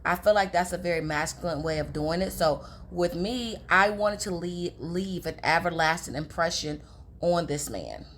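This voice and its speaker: A young woman with a raspy, hoarse voice, chatting casually to her viewers about relationships. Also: fairly low pitch, moderate pace, a bit bright, a little hiss or hum. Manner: chatty, confiding, addresses viewers as girls. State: hoarse from talking all day. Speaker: relationship advice vlogger. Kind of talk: casual advice talk to camera.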